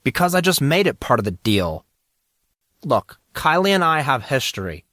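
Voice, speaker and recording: Audio drama casting: man to play excitable teen schoolboy, teasing best friend, slangy, animated intonation. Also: mid-range voice, normal speed, dry recording, clean studio sound, pitched above the performer's own voice. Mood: insistent. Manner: casual slangy banter.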